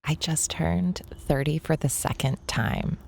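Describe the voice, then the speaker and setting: A woman's voice: low-pitched, fairly even intonation, measured pace, vocal fry, a little mellow. A woman in her thirties, a podcast guest, chatting casually with wry, teasing humor. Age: 30s. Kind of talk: casual chat.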